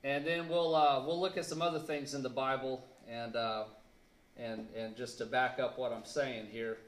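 A man in his thirties preaching a sermon in a church on a handheld mic, earnest and halting with fillers, leaning hard on key words. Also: medium pitch, little pitch movement. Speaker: pastor. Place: church sanctuary, indoors. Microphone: handheld mic, filmed by a camera across the room. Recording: echoey church room.

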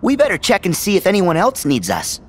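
A man playing an earnest, spirited, boyish teenage hero in an animated game cutscene. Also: high-pitched, fairly quick, a little hiss or hum. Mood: determined.

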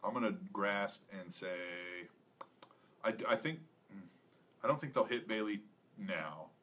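A young man with a slightly low-pitched voice. He co-hosts a podcast in an informal, conversational style, thinking out loud through his picks. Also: takes it slowly, a little hiss or hum. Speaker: podcast co-host. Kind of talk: casual wrestling predictions chat. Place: small indoor studio with acoustic foam panels.

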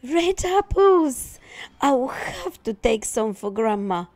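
A woman voicing an excitable, trusting young storybook boy who exclaims eagerly. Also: fairly high pitch, slow pace, dry recording, faint music behind, pitched above the performer's own voice, heavily acted. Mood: excited. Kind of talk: storybook character voice.

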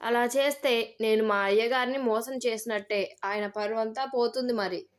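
A woman's voice: on the high side, steady tone, moderate pace, lightly acted. A woman voicing a loyal, deferential male village servant in a moral story.

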